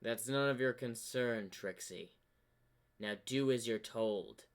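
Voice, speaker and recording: A man voicing a sinister, domineering dark spirit in a fan-made fantasy story, curt and scheming. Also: mid-range voice, slow, clean tone, lightly acted. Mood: commanding.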